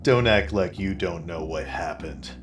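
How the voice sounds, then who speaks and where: Guy doing a dim self-serious noir detective, low voice, deadpan drama, music behind. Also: a little slow, echoey room. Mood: dramatic, grim. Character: self-serious, dim-witted male private detective, narrating his case. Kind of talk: parody noir narration and character dialogue.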